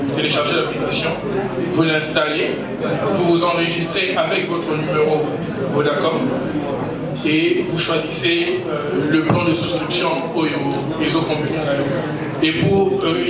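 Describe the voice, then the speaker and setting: A man at a press conference explaining an app step by step. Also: a little high. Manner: step-by-step instructions, steady. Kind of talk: product explanation. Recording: echoey hall, far from mic, crowd noise.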